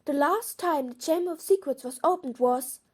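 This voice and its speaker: A woman plays a clever schoolgirl witch in an audio drama, high in pitch. She is earnest and careful, reasoning things out with an inquisitive manner. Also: moderate pace, lightly acted. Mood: curious, deducing.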